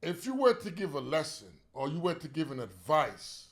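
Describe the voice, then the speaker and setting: A man from Haiti hosting an interview, asking questions in a playful, joking, animated way. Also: a little high, moderate pace. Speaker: interview host, DJ. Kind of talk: casual interview talk.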